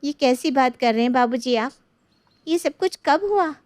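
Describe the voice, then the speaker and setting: A woman's voice: high voice, lively intonation, moderate pace, dry recording, voice pushed up. A woman playing a worried, practical young daughter-in-law in a moral story, anxious yet respectful.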